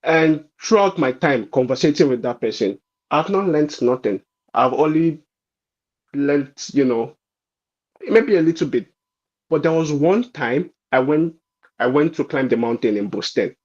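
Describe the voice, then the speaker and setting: A man's voice: fairly high pitch, expressive, unhurried, muffled recording. A man chatting casually and telling anecdotes, often repeating himself and acting out quoted remarks. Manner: informal, frequent repeats and quoted asides. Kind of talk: casual conversational storytelling.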